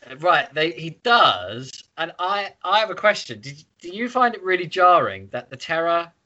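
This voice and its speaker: Male podcast host, low-pitched and not polished: bright, forceful delivery, irreverent and sweary, casual book chat, slightly lo-fi sound. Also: big pitch swings, normal speed.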